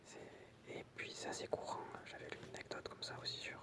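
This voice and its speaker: ASMR reader, full breathy whisper, unvoiced, soft explanatory delivery, casual asides. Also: brisk. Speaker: ASMR creator reading film trivia with commentary.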